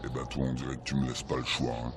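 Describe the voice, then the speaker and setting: Deep-voiced, gruff, impatient police commissioner. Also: male voice, monotone, fast, background noise. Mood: irritated. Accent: Réunion. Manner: brusque, demanding. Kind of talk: radio soap acting.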